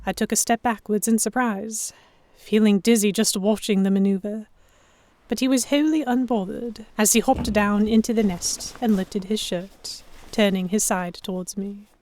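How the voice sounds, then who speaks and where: A woman playing a proud, sharp-tongued historical heroine who narrates a pirate romance in the first person, wry and expressive. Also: mid-range voice, moderate pace, no echo, close to an everyday voice. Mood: reflective narration. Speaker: narrator and lead voice actor.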